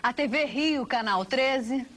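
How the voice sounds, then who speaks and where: High-pitched Brazilian woman narrating a documentary. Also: slow, a bit bright. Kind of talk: scripted narration.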